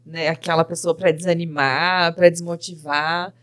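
A woman's voice: fairly low pitch, steady tone, normal speed, a bit bright. A woman hosting a podcast interview, affirming and encouraging her guest. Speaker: podcast host.

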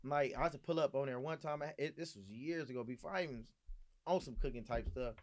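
A man chatting casually as a podcast guest, slangy and animated, repeating phrases for emphasis. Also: medium pitch, fast talker. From Gardena, California. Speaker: podcast guest, restaurant owner. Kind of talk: casual chat, storytelling.

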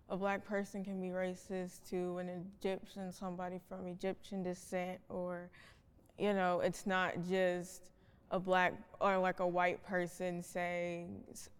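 A teenage young woman talks casually on a student panel, often halting. Her voice is a little low and very slow, with long hall reverb around it. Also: clear, resonant tone. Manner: informal, halting.

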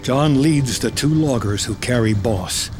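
Slow-paced man narrating a dramatic film script. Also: medium pitch, darkish tone, soft background music. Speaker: screenplay narrator. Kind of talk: scripted film narration, reading stage directions in present tense.

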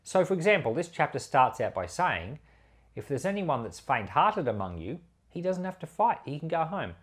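A man, a pastor, reading scripture and giving devotional commentary in a measured, emphatic delivery. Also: fairly high pitch, sing-song, slightly rough.

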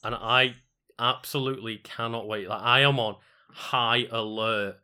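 A man's voice: medium pitch, fairly even intonation, measured pace, pushed and bright, clear, resonant tone. A man with a Scouse accent co-hosting a stream, chatting in an easygoing, laid-back way. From Liverpool. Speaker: co-host. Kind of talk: casual stream chat.

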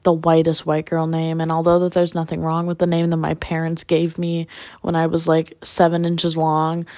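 A woman hosting a casual solo podcast while sick, with a raspy voice, rambling and joking at her own expense. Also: low-pitched, a little mellow, dry recording. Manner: rambling and self-deprecating with jokes. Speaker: podcast host; music producer.

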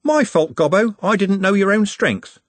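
A man voicing a rough but good-natured young working-class man in a storybook, blunt and slangy. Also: high voice, lively intonation, normal speed, dry recording, pitched above the performer's own voice. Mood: rueful.

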